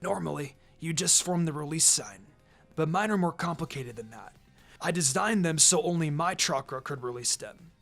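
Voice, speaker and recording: A man's voice: fairly high pitch, lively intonation, normal speed, gravelly, a bit bright. A man voicing an earnest, awkward teenage ninja boy in a storybook reading, plain-spoken and a little sheepish. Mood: strained explaining. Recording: music behind the voice.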